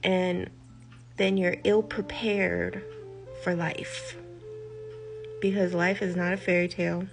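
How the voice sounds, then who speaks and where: An older woman speaks in a low, gravelly voice, taking her time over every phrase. She talks like a healer giving a reflective talk and drives her points home by repeating words. Music plays clearly beneath her. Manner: slow, deliberate, emphatic repetition with trailing phrases. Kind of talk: reflective spoken monologue. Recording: music behind the voice.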